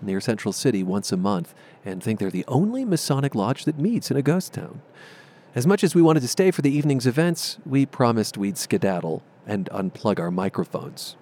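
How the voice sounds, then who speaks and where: A man's voice: medium pitch, a little mellow. A man with an American accent hosting a radio program, moving between scripted narration and interview questions.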